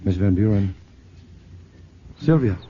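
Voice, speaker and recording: An actor playing a calm male scientist hero who explains things plainly, in a 1950s radio drama. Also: on the low side, slow, slight background noise. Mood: steady. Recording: dull old radio broadcast with music.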